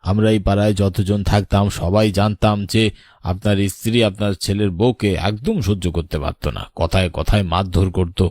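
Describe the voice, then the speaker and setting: A man voicing a grave, knowing older village man in a horror cartoon, solemn and measured like a storyteller. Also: fairly low pitch, fairly even intonation, darkish tone, no room sound, clean studio sound, close to an everyday voice. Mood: firm, serious.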